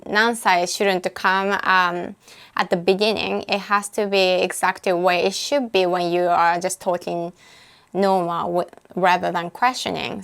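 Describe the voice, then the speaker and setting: A woman with a Japanese accent, a native speaker, casually explaining words while playing a language app, thinking aloud with frequent pauses and self-corrections. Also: mid-range voice, a bit bright. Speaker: language tutor. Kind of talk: casual screen-recorded app walkthrough.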